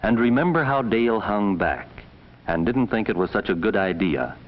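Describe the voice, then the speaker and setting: Low male voice acted, stern narrator, measured reproachful delivery, 1950s classroom film, muffled old soundtrack. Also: animated, natural voice. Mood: grave, reproachful. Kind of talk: 1950s educational film narration, second-person address.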